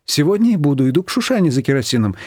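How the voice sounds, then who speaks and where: A man's voice: fairly high pitch, big pitch swings, rapid-fire, darkish tone, no room sound, clean studio sound. Guy doing a shy polite young wife, hesitant, slightly raised voice. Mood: timid. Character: meek young daughter-in-law.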